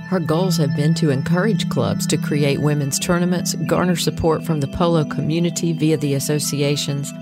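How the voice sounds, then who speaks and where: A woman hosting a podcast, reading a scripted introduction and asking her guests polished, upbeat questions. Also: sits low. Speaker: podcast host.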